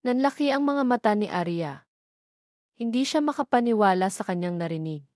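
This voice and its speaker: A woman's voice: medium pitch, lively intonation, normal speed, darkish tone, no echo, studio quality. A woman narrator reading a scripted, dramatic romance story.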